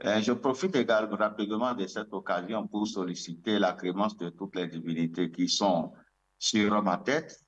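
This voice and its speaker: Low, muffled Beninese male spiritual leader. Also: fairly even intonation, normal speed. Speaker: traditional spiritual leader, interview guest. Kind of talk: ceremonial address and ritual invocation.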